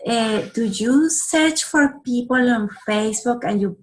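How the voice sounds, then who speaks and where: A woman on a group call asking a question, hesitant and halting, with many pauses. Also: fairly high pitch.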